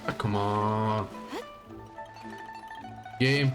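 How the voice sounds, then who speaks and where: A man's voice: lowish voice, steady tone, extremely slow. A man streaming a video game live, muttering casual asides and reacting as he plays. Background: faint game music.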